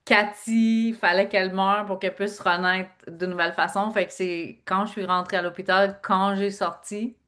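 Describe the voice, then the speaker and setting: A woman's voice: medium pitch, steady tone, normal speed, few pauses, a bit bright. A middle-aged woman from Quebec with a Québécois French accent, a guest telling her life story earnestly in an interview and leaning on filler phrases. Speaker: guest, speaker and author. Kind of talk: personal interview talk.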